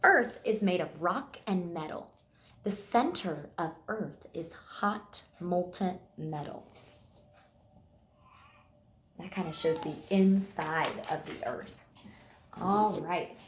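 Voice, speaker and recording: A woman teacher reading aloud and giving a simple lesson to young children, enunciating key words deliberately. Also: lowish voice, animated, a little hiss or hum. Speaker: early elementary school teacher. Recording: echoey room sound.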